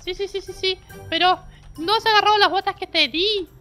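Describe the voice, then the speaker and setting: A cheeky little kid sidekick chatters through a Minecraft game. The squeaky voice is extremely high and sharp, quick to repeat itself in excitement, with music underneath. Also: female voice. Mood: relaxed. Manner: repeats short phrases excitedly. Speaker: co-player. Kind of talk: Minecraft roleplay gameplay chat. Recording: music behind the voice.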